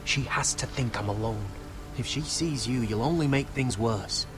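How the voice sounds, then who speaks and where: An actor playing a scrappy young wildling scout in a dramatic fantasy video game. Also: male voice, medium pitch, normal speed, over music, natural voice. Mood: urgent. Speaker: game character.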